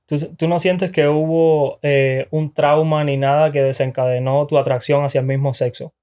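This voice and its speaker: Guy hosting a podcast, kinda higher voice, encouraging, asks questions to guide. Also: little pitch movement, normal speed, a little mellow, smooth. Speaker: podcast host. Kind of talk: casual interview.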